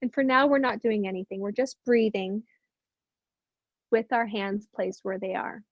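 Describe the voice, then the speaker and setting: A woman teaching meditation, speaking gently and unhurriedly with long pauses in soothing guided instruction. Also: mid-range voice, steady tone, no echo, highs cut off.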